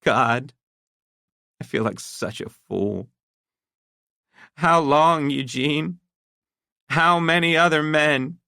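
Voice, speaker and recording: A man's voice: sits high, lively intonation, moderate pace, pushed and bright, no room sound, clean studio sound, voice pushed up. A man voicing a distraught, betrayed wife who accuses her husband in a shaking voice that rises to shrieks. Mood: tearful, bitter. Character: distraught betrayed middle-aged wife, shrill.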